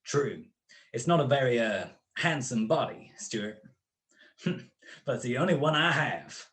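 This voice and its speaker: A man's voice: medium pitch, animated, unhurried, fairly bright tone. A man acting a smug, cold-blooded criminal mastermind in a radio play, with precise, mocking, grandiloquent speech. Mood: mocking amusement.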